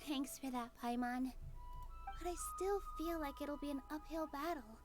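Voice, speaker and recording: Actress as gentle young woman dancer, high voice, earnest, hopeful, game dialogue, faint music behind. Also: moderate pace, natural voice. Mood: earnest, nervous. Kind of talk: video game voice acting.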